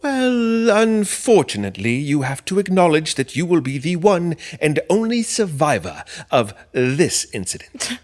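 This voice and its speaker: Man playing a polite, animated slasher. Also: fairly high pitch, measured pace. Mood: businesslike, affable. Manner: polite, hesitant, businesslike. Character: chatty, courteous slasher killer treating murder as paperwork. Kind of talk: comedy horror audio play acting.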